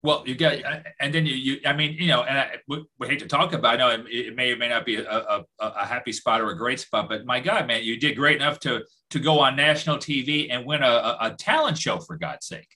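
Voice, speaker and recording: Bright, eager, rambling male host. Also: mid-range voice, fast talker, hardly any pauses. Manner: eager, rambling, stumbles over words. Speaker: podcast host. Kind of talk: casual interview chat.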